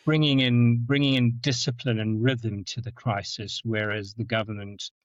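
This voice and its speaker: A middle-aged man with a Zimbabwean-South African accent, telling stories as a podcast guest, often repeating words and jumping up in pitch for anecdotes. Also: steady tone, normal speed, no echo. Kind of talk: storytelling in conversation. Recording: dull, dry lo-fi sound.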